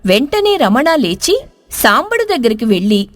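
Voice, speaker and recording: A woman narrating a scripted moral story with expressive storyteller delivery. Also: on the high side, measured pace, soft background music. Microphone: close studio voice-over.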